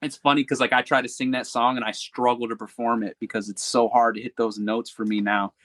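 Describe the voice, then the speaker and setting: Male guest, mid-pitched, dry studio sound, loose candid talker. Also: flat delivery, brisk, a bit bright, no room sound. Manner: candid, rambling with restarts and fillers. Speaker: podcast guest, hip-hop artist. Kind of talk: casual interview chat.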